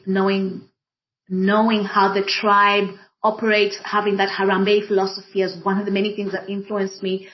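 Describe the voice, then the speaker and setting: A woman with a Kenyan accent and Swahili as her first language, reflecting deliberately on her own life as a panel guest, rephrasing for emphasis. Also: mid-range voice, lo-fi sound. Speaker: panel guest, diversity and inclusion practitioner. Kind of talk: reflective personal account in a group discussion.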